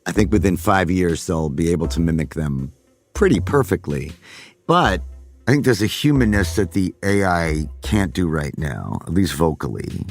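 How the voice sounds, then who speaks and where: A man's voice: sits low, lively intonation, normal speed, faint music behind. A middle-aged man, a voice actor, musing on his craft in a podcast monologue and slipping now and then into character voices.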